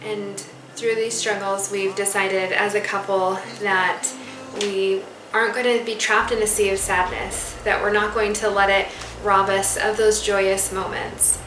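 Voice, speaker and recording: A woman's voice: mid-range voice, level tone, measured pace. A woman in her thirties telling her infertility story in an earnest, sincere way. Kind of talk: heartfelt personal storytelling. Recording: roomy, distant, noisy.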